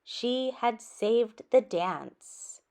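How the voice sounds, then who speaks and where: A woman reading a children's story aloud in an expressive way, with animated asides addressed to young listeners. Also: on the high side, very slow. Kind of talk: expressive picture-book read-aloud.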